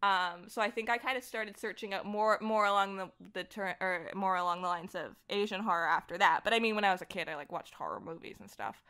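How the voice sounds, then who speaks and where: A woman chatting casually as an interview guest, animated, giggly and self-deprecating about herself. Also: mid-range voice, a little fast, few pauses, clear, resonant tone. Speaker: podcast guest, voice actor and director. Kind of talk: casual interview chat about comics and horror.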